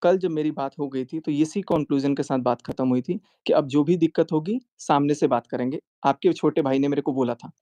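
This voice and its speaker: High-pitched insistent man arguing. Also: a little fast, few pauses, mellow, no room sound, highs cut off. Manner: insistent, repeats himself, interrupting. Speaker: YouTube vlogger. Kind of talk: heated phone argument.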